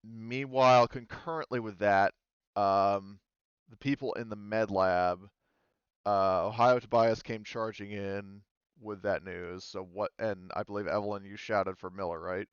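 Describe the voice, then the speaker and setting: A man's voice: on the low side, steady tone, a little slow, clean tone, no echo, lo-fi sound. A man running a tabletop game as game master, rambling along with lots of fillers and self-corrections.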